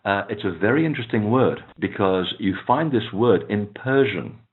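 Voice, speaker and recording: A man whose first language is Portuguese, a guest explaining ancient temples at length in a relaxed way, with many fillers. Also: fairly low pitch, moderate pace. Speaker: author and guest. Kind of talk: phone interview, explaining at length. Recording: tinny phone line.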